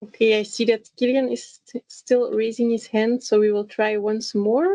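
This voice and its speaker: A woman moderating an earnings call, managing callers and reading questions out loud. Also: a little high, flat delivery, moderate pace, dry recording, rough recording.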